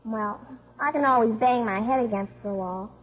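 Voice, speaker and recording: High, plucky little girl. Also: normal speed, breathy edge. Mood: plucky, anxious. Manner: slangy, plucky. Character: tough-talking, attached young daughter, a little girl. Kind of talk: 1950s radio acting. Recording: muffled 1950s radio recording.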